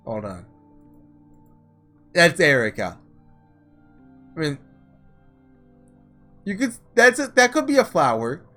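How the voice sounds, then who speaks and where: A man's voice: on the high side, huge pitch swings, slow, long pauses, faint music behind. A man hosting a casual gaming playthrough, thinking aloud informally and reading visual novel text. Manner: informal, musing out loud. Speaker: gaming video host.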